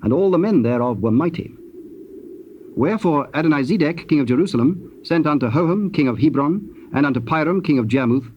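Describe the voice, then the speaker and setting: A man narrating a scripted, dramatized scripture reading in a solemn, measured manner. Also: on the high side, animated. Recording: muffled old cassette, faint noise.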